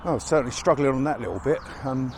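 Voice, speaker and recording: A man's voice: medium pitch, quick pace, vocal fry. A chatty man narrates his walk outdoors in a mid-range voice, wry and a little out of breath. He sounds slightly chesty from a lingering cold, picked up on a mic clipped to his chest. Faint motorway noise hums behind him. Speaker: walking vlogger. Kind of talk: casual walk-and-talk narration. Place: outdoors by a busy motorway in winter fields. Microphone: chest-worn mic.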